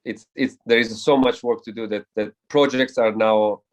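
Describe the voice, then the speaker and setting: A man's voice: fairly low pitch, fairly even intonation, normal speed. A man speaking as an air race pilot in a casual interview, earnest and reflective, who often stumbles and repeats words while searching for them.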